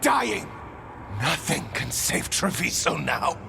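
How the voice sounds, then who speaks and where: An actor voicing a defiant, embittered corrupt governor who is blighted and dying, in a theatrical video game performance full of bitter shouting. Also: male voice, fairly high pitch, very animated, takes it slowly, creaky, background noise, full character voice. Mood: bitter despair.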